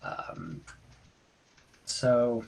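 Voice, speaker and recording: A man running an online tabletop game as game master, narrating off the cuff and haltingly, thinking aloud. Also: a little mellow.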